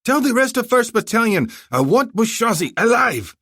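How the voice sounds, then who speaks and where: A man's voice: fairly high pitch, big pitch swings, moderate pace, a bit bright, no echo, clean studio sound, voice pushed up. A man voicing a ruthless gloating army colonel. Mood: shouting. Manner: barking orders, gloating.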